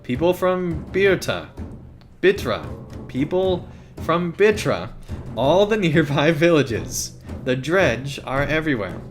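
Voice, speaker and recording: A man's voice: a little high, sing-song, slow pace, faint music behind, pitched above the performer's own voice. A man reading aloud the lines of a determined female warrior leader in a game, urgent and stumbling over names.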